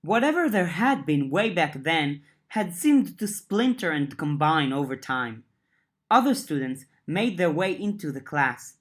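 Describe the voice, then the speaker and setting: A woman with a notably low voice reads a chapter of a serial story aloud. She narrates steadily and raises her pitch when characters speak. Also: expressive, a little slow. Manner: reads dialogue with lifted pitch, narration lower and softer. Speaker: audiobook narrator. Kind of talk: audiobook reading of a web serial.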